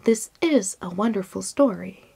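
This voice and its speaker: A woman's voice: mid-range voice, animated, measured pace, vocal fry, dark tone, slightly rough, exaggerated voice. A woman voicing an affectionate sister in an Arabian storybook tale, earnest, courteous and entreating. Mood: admiring.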